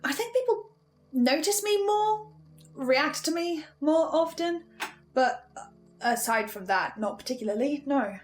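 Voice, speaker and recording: A woman plays an anxious young archivist in a horror drama. Her voice is high and unhurried, and she hesitates, stammers and restarts her sentences. Music plays behind her. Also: lively intonation. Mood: uneasy, halting. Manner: hesitant, stammering, self-interrupting.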